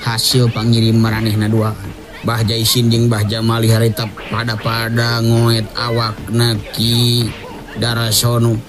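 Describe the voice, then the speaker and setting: A man's voice: mid-range voice, completely flat delivery, measured pace, crowd noise. A man with a Sundanese accent narrating a folktale in a dramatic oral storytelling style. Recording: music under the voice.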